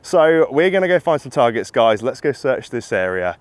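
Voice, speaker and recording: A man with a husky voice from a cold, chatting casually while metal detecting in an open field outdoors. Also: mid-range voice, big pitch swings, moderate pace, no room sound. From England. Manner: chatty, rambling, upbeat, laughs often. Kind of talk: casual outdoor vlog chat.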